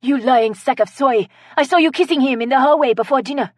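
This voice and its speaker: A woman's voice: high voice, a little fast, darkish tone, no echo, clean studio sound, voice pushed up. A woman narrator voicing a haughty, dramatic French woman suspect with a French accent in a comic story. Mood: accusing. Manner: haughty, melodramatic.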